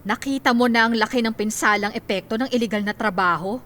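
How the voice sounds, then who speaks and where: A woman's voice: fairly high pitch, fast, pushed and bright, slight background noise. A woman acting in a Filipino radio drama as a worried wife, earnest and admonishing. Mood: concerned, reproving. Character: worried, reproving wife warning her stubborn husband.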